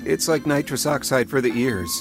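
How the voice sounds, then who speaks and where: A man playing an excitable boat owner gushing about music in a comic radio advert. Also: mid-range voice, normal speed, close to an everyday voice. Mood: eager. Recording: loud music bed behind.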